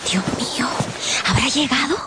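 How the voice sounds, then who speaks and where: A woman's voice: high-pitched, normal speed, heavy background noise, lightly acted. An actress playing an impulsive, naive young woman in love in a radio novel, dreamy, sighing and melodramatic. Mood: anxious whisper.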